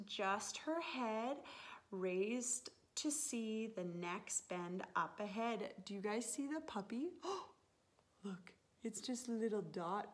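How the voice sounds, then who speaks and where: A woman in her thirties, a teacher reading a picture book aloud to young children in an expressive, animated manner with drawn-out words. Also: on the high side. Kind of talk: expressive children's story time read-aloud. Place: living room, indoors on a sofa.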